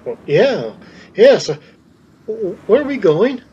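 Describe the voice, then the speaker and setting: An actor playing a timid, broken homeless man in a radio drama, speaking hesitantly in halting phrases. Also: sits high, big pitch swings, warm tone, lo-fi sound, a little hiss or hum, heavily acted. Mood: nervous.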